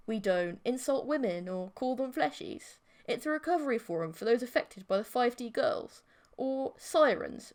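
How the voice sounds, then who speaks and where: A woman narrates an audiobook in a fairly high voice. Her delivery is scripted and dramatic, telling a story in the first person.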